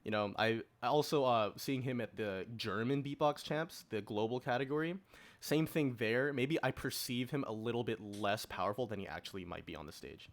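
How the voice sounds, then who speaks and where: A man's voice: medium pitch, normal speed. A man co-hosting a podcast, talking informally in a casual panel discussion and explaining his views. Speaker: podcast co-host.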